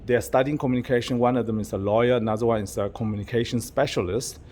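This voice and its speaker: A man's voice: mid-range voice, fairly even intonation, fast talker, darkish tone, a little hiss or hum. A man vlogging to camera in a public spot, chatty and playfully mock-secretive, with plenty of fillers and restarts. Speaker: YouTuber vlogger. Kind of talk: casual vlog to camera. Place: public venue with outside view.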